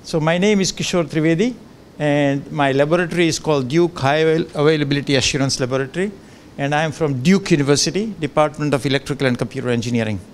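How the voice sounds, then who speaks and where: A man's voice: a little high, fast, a little hiss or hum. Chatty older man lecturing on a handheld mic. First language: Gujarati. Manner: chatty, jokey, many fillers and restarts. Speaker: professor giving a guest lecture. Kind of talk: informal lecture with asides. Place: large lecture room. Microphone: handheld microphone.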